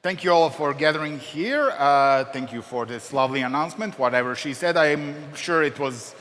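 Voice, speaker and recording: A young man from Serbia talks to a convention crowd with wry, self-mocking jokes. His voice is bright and sits a bit high for a man. It rings out in a reverberant hall with a faint hiss underneath. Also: moderate pace. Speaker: convention panelist. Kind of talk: joking convention talk.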